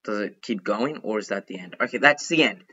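A young man giving chatty, excitable commentary while playing a game, thinking out loud as he goes. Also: fairly low pitch, fairly quick, husky, dull audio. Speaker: gaming youtuber. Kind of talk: casual gameplay commentary.

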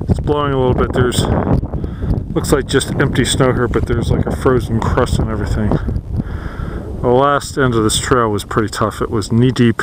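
A man narrating his cross-country ski outing outdoors, chatty and relaxed as he admires the snowy scenery, recorded on a handheld camera mic. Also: mid-range voice. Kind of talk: casual outdoor vlog narration. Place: snowy forest and mountaintop outdoors. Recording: wind noise on camera mic. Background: wind and flowing water.

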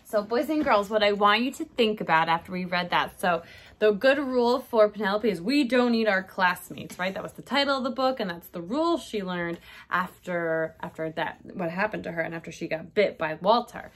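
A woman's voice: medium pitch, moderate pace, a bit bright. A woman in her thirties, a kindergarten teacher reading a story aloud and talking directly to young children with check-in questions. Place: small decorated indoor room.

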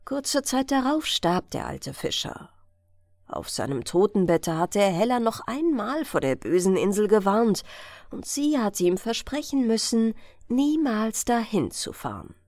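A woman's voice: mid-range voice, very animated, unhurried, darkish tone, no echo. A woman reading a scripted bedtime fairy tale as an audiobook narrator.

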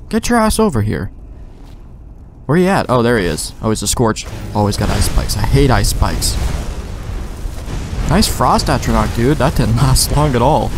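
A man giving casual, rambling gameplay commentary with plenty of slang and swearing. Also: medium pitch. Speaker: let's play gamer. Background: game music.

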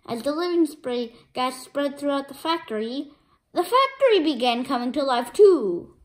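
A woman reading a children's comic book aloud as an expressive storyteller. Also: high voice, normal speed, rough recording. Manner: expressive, voicing sound effects.

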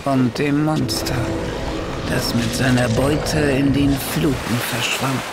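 A woman's voice: rumbling low, fairly even intonation, takes it slowly. A woman giving dramatic scripted horror narration as the narrator of an audio drama. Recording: loud music behind the voice.

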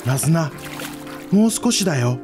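An actor playing a gentle, devoted young Jomon-era village man in a radio drama. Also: a little high, expressive, moderate pace, natural voice. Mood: tender. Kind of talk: radio drama acting with storytelling narration. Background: instrumental music.

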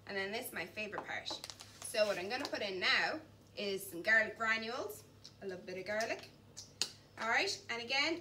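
A young woman in her twenties giving a chatty, encouraging cooking demonstration while she chops and mixes in a large kitchen. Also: fairly high pitch. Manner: chatty and encouraging, talks while chopping and mixing. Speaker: cooking instructor. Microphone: camera at a distance. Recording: distant mic, faint background noise.

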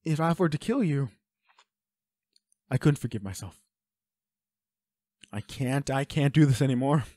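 A man's voice: medium pitch, lively intonation, a little slow, mellow, no echo, studio quality. A man playing an anguished, protective werewolf boyfriend in an audio roleplay, speaking with urgent, pleading emotion. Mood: shaken, guilty. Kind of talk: intimate dramatic roleplay acting.

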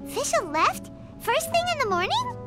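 A woman voicing a tiny, childlike, cheeky fairy companion in an anime video game, squeaky and exclamatory. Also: wildly swooping pitch, measured pace, sharp tone, faint music behind, heavily acted. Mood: indignant, puzzled. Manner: speaks of self in third person, exclamatory.

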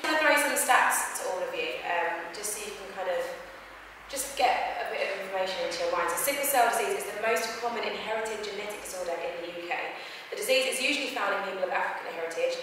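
A woman's voice: medium pitch, fast. A woman moderating a panel, chatty and reading out statistics. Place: large hall with audience. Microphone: far room camera. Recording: echoey hall from far off, noisy.

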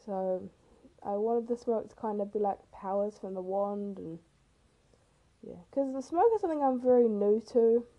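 A teenage girl with a rough, hoarse voice, casually narrating her own speed painting in a chatty, self-deprecating way. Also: mid-range voice, moderate pace, dark tone, low-quality recording. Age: teens. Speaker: art vlogger. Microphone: camera mic.

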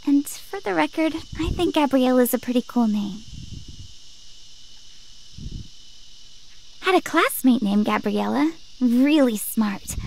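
A very high, sweet girlish voice for an anime-style visual novel heroine. She is eager and polite, with a timid, hesitant edge. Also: animated, moderate pace, vocal fry, a little mellow, a little hiss or hum, low-quality recording. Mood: cheerful, eager.

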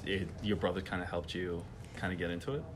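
A man's voice: low voice, quick pace, a little hiss or hum. A young man hosting a tennis vlog, asking a player casual, friendly questions and then signing off to viewers in a press room. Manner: relaxed, friendly questions with frequent "kinda" and "mm-hmm". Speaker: tennis vlogger and interviewer. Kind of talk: casual interview and vlog sign-off.

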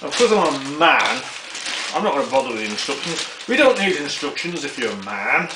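A man's voice: on the high side, big pitch swings, fairly quick. A British man giving a casual, jokey assembly commentary, muttering to himself as he works in a garage workshop. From United Kingdom. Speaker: vlogger. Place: indoors in a concrete-floored garage workshop. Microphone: camera mic a short distance away. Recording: roomy, distant mic, very noisy with clicks.

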